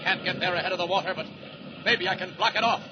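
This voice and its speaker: A man's voice: high-pitched, quick pace, close to an everyday voice. An actor playing a determined, heroic superhero in 1940s radio serial style, urgent and effortful as he talks himself through the action. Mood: urgent resolve. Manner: urgent, self-narrating, effortful. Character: determined heroic male superhero racing to save lives. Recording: muffled, noisy old radio recording.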